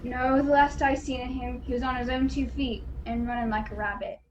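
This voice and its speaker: A woman playing an earnest, excitable, folksy male police officer in a radio play over a home video call. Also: high voice, a little fast, dull audio, slight background noise, natural voice. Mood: breathless report.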